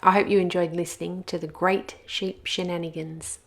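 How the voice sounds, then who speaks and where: A woman's voice: lowish voice, little pitch movement, moderate pace. A woman narrating a children's picture book expressively, as a read-aloud host.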